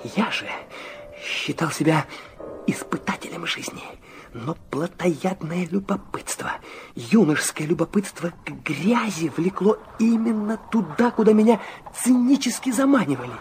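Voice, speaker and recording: A man's voice: high voice, animated, measured pace, background noise. An actor playing an intense, naive young man in a radio drama, confessional and emotional in his delivery. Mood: brooding narration. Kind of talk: Russian radio drama acting with narration.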